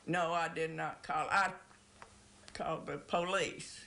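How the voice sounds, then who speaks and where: A woman interviewee with a bright, forward voice. She tells her own story in plain words, pausing and picking up again in an unscripted way. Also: sits low, steady tone, gravelly. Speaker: interviewee recounting an experience.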